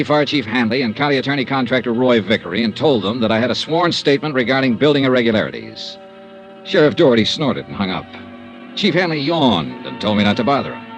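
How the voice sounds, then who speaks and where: An actor playing a tough, wry insurance investigator in a 1950s radio drama, clipped and probing. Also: male voice, mid-range voice, lightly acted. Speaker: lead actor and narrator.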